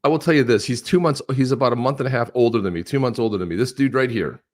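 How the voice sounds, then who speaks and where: A man around sixty at a middle pitch, chatting loosely and with energy about current events. He jokes, laughs easily and keeps talking over his co-host. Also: racing. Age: 60s. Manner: animated, joking, laughs often. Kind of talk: casual political chat.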